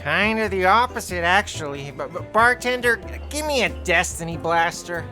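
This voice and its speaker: An actress playing a flustered, weary assistant in an audio drama, sardonic and often stammering. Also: female voice, on the low side, animated, normal speed, pushed and bright, soft background music. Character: flustered, weary assistant who likes a drink.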